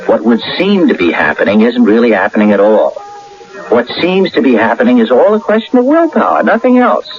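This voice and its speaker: An actor playing an earnest, excitable and stubborn ordinary man in an emphatic, theatrical radio drama style. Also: medium pitch, fairly quick, soft background music, natural voice. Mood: emphatic, insistent. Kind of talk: 1980 American radio drama acting. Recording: dull lo-fi old radio broadcast.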